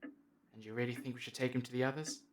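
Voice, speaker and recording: Woman acting as very low, suspicious captive. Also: fairly even intonation, quick pace, a little hiss or hum. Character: suspicious, curt captive distrusting the newcomer. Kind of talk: fan audio drama acting.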